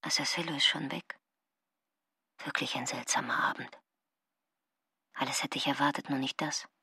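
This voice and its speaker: An actress playing a bewildered, curious woman in a radio drama, hushed and breathy, as if thinking aloud. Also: very deep voice, steady tone, moderate pace, no room sound, studio quality, heavily acted. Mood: puzzled. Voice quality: breathy, half-whispered. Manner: hushed, wondering. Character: bewildered, curious woman guest in an eerie place. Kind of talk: radio drama acting, inner monologue.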